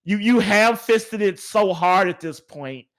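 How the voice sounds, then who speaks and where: A man's voice: high-pitched, lively intonation, a little slow, fairly bright tone. A man hosting a podcast in casual, opinionated chat, speaking emphatically and repeating words for emphasis. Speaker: podcast host.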